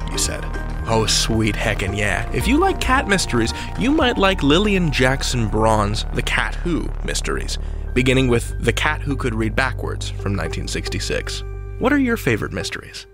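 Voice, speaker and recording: An American man hosts a countdown show over a music bed. His pitch leaps around in a lively way, and his delivery is playful and theatrical, full of casual slang. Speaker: podcast host. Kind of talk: lively audio drama countdown show hosting.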